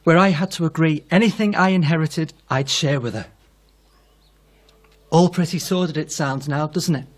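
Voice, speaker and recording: A man plays a remorseful son who is not really a son, in a radio play. His voice sits at a middling pitch, earnest and emotional, with a wounded need to be believed. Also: normal speed, lightly acted. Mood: rueful. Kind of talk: radio drama acting.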